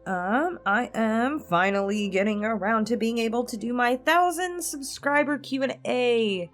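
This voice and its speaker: A woman's voice: a little high, unhurried, soft background music. A woman chatting casually and informally to her viewers as a host, thinking aloud as she goes. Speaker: host, roleplay ASMR voice actor. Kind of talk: casual chat to viewers in a pre-recorded Q&A.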